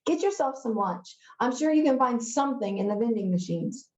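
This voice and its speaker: A woman's voice: a little high, animated, moderate pace, roomy sound, highs cut off, natural voice. A woman reading the part of a cool, reluctant middle-school boy schemer in a storybook, terse and understated. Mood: dismissive, firm.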